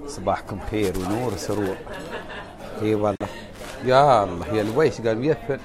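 A man in his forties with an Emirati Arabic accent, chatting casually into a phone on an earphone mic in a hotel room, animated and emphatic. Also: lowish voice, fairly quick, a crowd in the background. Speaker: social media vlogger. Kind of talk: casual selfie vlog chat. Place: indoors by a window, hotel room. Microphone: wired earphone mic, phone at arm's length.

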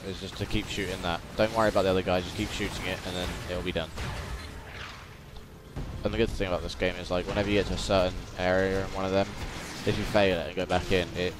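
A man's voice: deep voice, level tone, fast talker, background noise. A young man giving casual let's play commentary, chatty and jokey as he talks over the game. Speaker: gaming video creator. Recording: game music and doubled game audio behind. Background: video game music and sounds.

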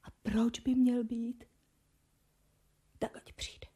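An actress playing a young noble bride in a radio drama, swinging between loud pleading outbursts and whispered brooding. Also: female voice, on the high side, level tone, slightly rough. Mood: distraught.

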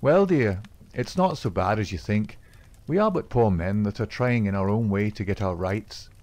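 A man reading aloud, voicing a smooth-talking Irishman in a secret society who reassures his sweetheart. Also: fairly low pitch, expressive, moderate pace, dry recording, close to an everyday voice. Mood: coaxing. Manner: coaxing, reassuring, soft-spoken.

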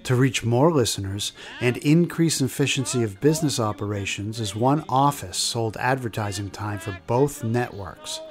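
A man's voice: mid-range voice, moderate pace, hardly any pauses. Male narrator for scripted radio history documentary, mid-range voice, light music bed.

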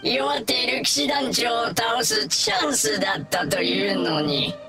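Slow, swooping sly monster mage. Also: female voice, fairly high pitch, big pitch swings, loud music behind the voice. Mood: scheming, annoyed. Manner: theatrical, drawn-out, sneering. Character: sly scheming masked mage monster, villain. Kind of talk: Japanese anime game voice acting.

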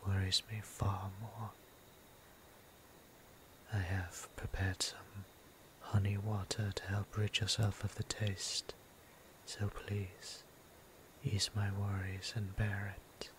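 A man voicing a gentle, courtly vampire priest in an ASMR roleplay, breathy and half-whispered, with formal, tender, old-fashioned phrasing. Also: lowish voice, level tone, takes it slowly. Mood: caring, soothing. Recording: clear background noise and ambience.

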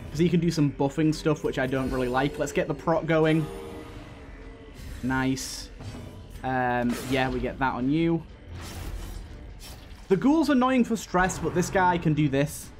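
A man giving casual let's-play commentary, thinking aloud as he plays. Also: fairly high pitch. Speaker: gaming video host.

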